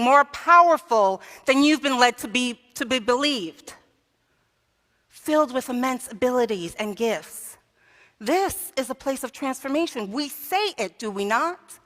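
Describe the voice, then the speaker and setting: A woman minister with a fairly high voice delivers a sermon from the pulpit. She tells a story, asks rhetorical questions and rises to emphatic peaks. Her voice carries a long reverb from the church sanctuary. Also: a bit bright.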